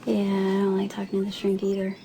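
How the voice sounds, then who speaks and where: An actress playing a caring, candid aunt in a television soap drama, speaking in an earnest, gently persuasive way. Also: female voice, mid-range voice, completely flat delivery, fairly quick, natural voice. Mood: gentle, casual. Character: caring, candid aunt urging a child toward help. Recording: clearly noisy background ambience.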